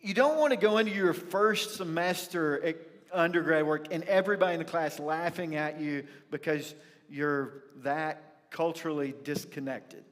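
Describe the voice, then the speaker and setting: Higher-voiced Texan preacher in a reverberant hall. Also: male voice, a bit bright. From rural North Texas, USA. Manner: folksy, conversational, emphatic pauses. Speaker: pastor. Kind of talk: sermon with personal storytelling. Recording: reverberant hall, church livestream.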